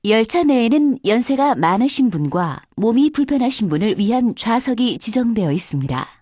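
A woman's voice: fairly high pitch, very animated, normal speed, darkish tone, no room sound, clean studio sound. A woman reading formal scripted subway announcements in a polite, carefully enunciated way.

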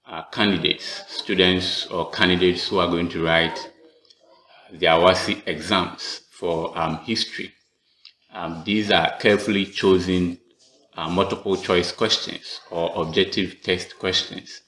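A man in his thirties with a Ghanaian accent, speaking haltingly as a teacher introducing an instructional video. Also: sits low, pushed and bright. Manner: halting, measured delivery with pauses mid-phrase. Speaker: teacher, channel host. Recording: echoey room with faint background noise.

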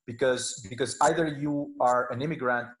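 A middle-aged man from Portugal, a native Portuguese speaker with a Portuguese accent, giving halting, conversational interview answers as a professor on a video call. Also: mid-range voice, steady tone. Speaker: law professor, interview guest. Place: indoor room, video call. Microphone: wired earphones on a video call.

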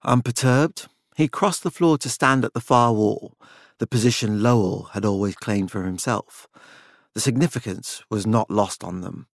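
A man's voice: lowish voice, moderate pace, vocal fry, no echo, clean studio sound. A man narrating a dramatic work of fiction as an audiobook.

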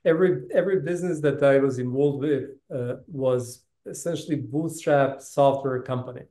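A man's voice: mid-range voice, normal speed, warm tone. A man in his fifties with a Balkan accent, telling his story casually as an interview guest, often restarting his phrases. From Bosnia. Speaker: interview guest, tech business executive. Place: indoor room, video call. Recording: roomy, reverberant video call audio.

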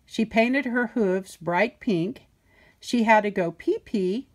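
An older woman reading a children's picture book aloud in a playful, sing-song way with deliberate emphasis. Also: medium pitch, expressive. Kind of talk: storybook read-aloud.

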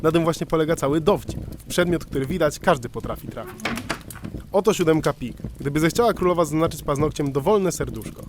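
A man's voice: on the high side, lively intonation, fairly quick, background noise, natural voice. Man as a florid, fawning devil's sidekick. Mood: fawning, jovial. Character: flattering, verbose, clownish devil's retainer, former choirmaster. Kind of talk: amateur radio drama acting.

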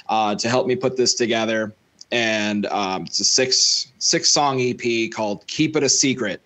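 A man's voice: medium pitch, fairly bright tone. A young man talking as a guest musician on a podcast over a video call, rambling with fillers and restarts. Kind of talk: casual interview. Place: home room. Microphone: studio mic close, headphones on.

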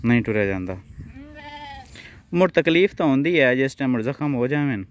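A man's voice: mid-range voice, lively intonation, moderate pace. A man with a Punjabi accent chatting casually and commenting as a village vlogger, recorded outdoors. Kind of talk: casual chat and field commentary. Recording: outdoor field recording, faint background noise.

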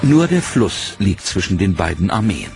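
Gravelly male documentary narrator. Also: sits low, very animated, normal speed. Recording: narration over loud music.

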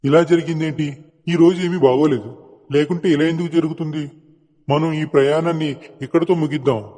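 A man playing a proud, hot-tempered king with a formal, commanding manner in an animated moral story. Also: fairly high pitch, a little fast, close to an everyday voice. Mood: upset. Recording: long hall-like reverb, music behind.

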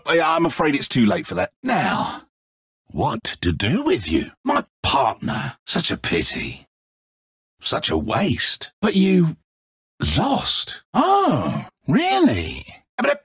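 Flamboyant villain, wildly swooping voice. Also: male voice, high voice, a bit bright, slightly rough, no echo, clean studio sound, exaggerated voice. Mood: smug, mocking, then wounded, menacing. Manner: mock-friendly, condescending, theatrical. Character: flamboyant, petty, egotistical godlike male villain. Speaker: video game voice actor. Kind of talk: theatrical video game character acting.

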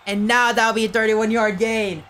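A tired young man doing casual, rambling play-by-play commentary for an online game league, getting excited on big plays. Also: high-pitched, normal speed, slight background noise.

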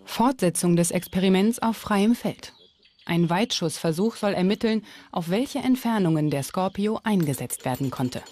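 A woman's voice: fairly low pitch, lively intonation, moderate pace, darkish tone, a little hiss or hum. A woman reading scripted documentary narration.

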